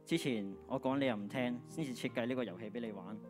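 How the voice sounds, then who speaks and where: A man's voice: mid-range voice, quick pace, music in the background, natural voice. A teenage boy playing an earnest, forgiving old schoolmate in a radio drama on stage. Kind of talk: Cantonese radio drama acting.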